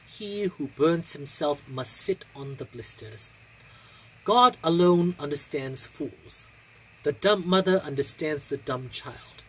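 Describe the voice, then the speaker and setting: A man with a husky voice and a theatrical, deliberate delivery, speaking indoors into a phone a short distance away. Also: on the high side. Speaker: drag performer and reader. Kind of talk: casual vlog chat and expressive poetry reading. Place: small room indoors. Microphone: phone on a stand a short distance away.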